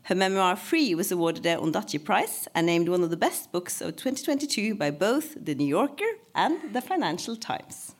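A woman's voice: mid-range voice, big pitch swings, moderate pace, few pauses. A woman giving a formal, scripted welcome and introduction as an event host.

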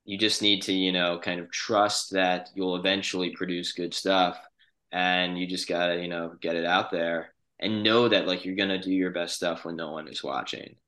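A man's voice: deep voice, flat delivery, moderate pace, pushed and bright. A man in a casual podcast conversation, speaking in an earnest, agreeable and encouraging way.

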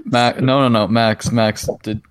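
A man's voice: medium pitch, little pitch movement, measured pace, clean tone. A man co-hosting a podcast, reading out trivia hints in an easygoing way and laughing often. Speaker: podcast co-host, quiz giver. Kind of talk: casual chat.

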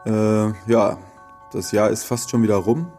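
Male podcast host, fairly low and soft-edged, casual halting delivery, remote setup. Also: steady tone, faint music behind. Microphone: remote connection, not in studio.

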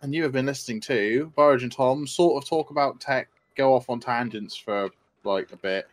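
A young man with a British accent speaks as a podcast co-host. His pitch is average, and he explains technical things casually, with an enthusiast's keenness. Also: moderate pace. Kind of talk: casual tech chat.

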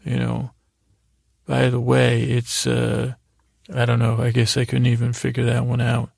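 Male sleep-podcast host, heavy vocal fry, whimsical and hesitant, wandering sentences, close dry sound over soft music. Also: medium pitch, completely flat delivery, no echo. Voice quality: creaky, gravelly. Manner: meandering, hesitant, halting, trailing off; lighthearted, whimsical. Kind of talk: rambling bedtime monologue to help listeners sleep.

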